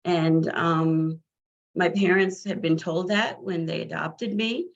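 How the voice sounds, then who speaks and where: A middle-aged woman from New Jersey with a scratchy, tired voice, telling her own adoption story slowly, with many fillers and restarts. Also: on the low side. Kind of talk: personal account. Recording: dull lo-fi audio.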